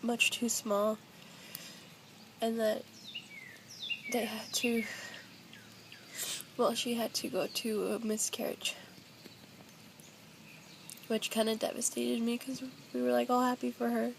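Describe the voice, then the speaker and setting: A woman's voice: a little high, level tone, takes it slowly, vocal fry, long pauses, noisy background. A young adult woman talking casually and hesitantly in a personal confessional vlog, recorded at home on a built-in device mic.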